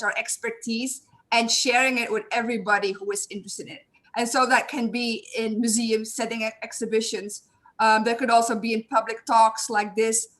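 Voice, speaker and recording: A young woman with a Belgian accent talks in a friendly, eager way, dotting her speech with "um" as she introduces herself to a panel audience. Her voice sits a little high and sounds bright and clear. It comes through a video call. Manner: friendly, eager, with frequent "um" fillers. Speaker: panel host. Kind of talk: online panel self-introduction.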